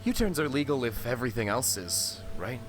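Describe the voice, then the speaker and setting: A wry, talkative survivor on the road, played by a man in an audio drama. His pitch leaps up and down wildly, and loud music plays along with him. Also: sing-song, brisk, natural voice. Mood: casual, earnest. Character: wry, chatty male apocalypse survivor on the road.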